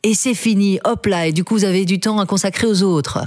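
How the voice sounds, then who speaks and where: A woman's voice: mid-range voice, a little fast, darkish tone. A woman hosting a live radio quiz show, playful and teasing with the callers.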